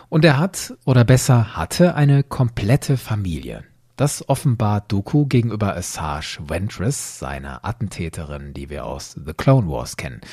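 Animated male podcast host. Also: on the low side, husky, dry recording, studio quality. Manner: explanatory, asks and answers questions. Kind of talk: podcast narration and explanation.

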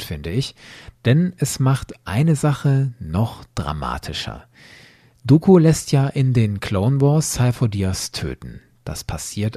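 A man hosting a podcast, giving explanatory, semi-scripted commentary with clear emphasis. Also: on the low side, warm tone, no echo, studio quality. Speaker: podcast host.

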